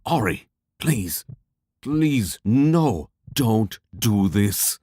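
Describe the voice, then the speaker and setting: A man's voice: on the low side, big pitch swings, very slow, warm tone, husky, no echo, clean studio sound, exaggerated voice. A man playing an anxious, skeptical adventurer in an audio drama, speaking in a hesitant, fretful way. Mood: pleading.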